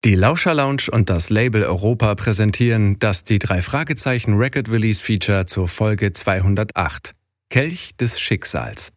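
A man's voice: lowish voice, normal speed, a little hiss or hum. A man reading a scripted introduction as an announcer.

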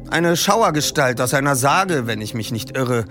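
A man's voice: medium pitch, expressive, normal speed, a bit bright, soft background music, pitched above the performer's own voice, natural voice. A man reading a book aloud and raising his voice to play an eager teenage boy who does the research for a detective trio.

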